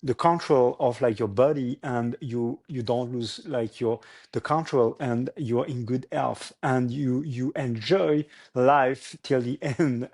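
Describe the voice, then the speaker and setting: A man's voice: medium pitch, darkish tone. A man, a startup founder speaking as a guest in a casual interview and often searching for English words. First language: French.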